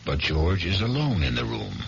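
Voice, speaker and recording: A very deep, creaky-voiced man hosts a radio mystery with deliberate, ominous narration. The old recording is dull, with music behind him. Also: wildly swooping pitch. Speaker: host and narrator.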